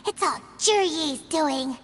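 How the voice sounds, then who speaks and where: A woman voicing a tiny, excitable, childlike fairy companion in a video game, squeaky, dramatic and indignant. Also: slow. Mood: shocked indignation. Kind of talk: video game character acting. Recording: long reverb, faint noise.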